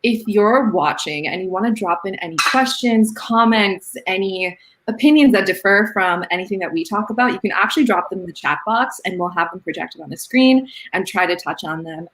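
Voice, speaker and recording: A woman's voice: fairly low pitch, poor audio. A woman hosting a casual online interview show, upbeat and encouraging with her guest, on a video call mic. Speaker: podcast host.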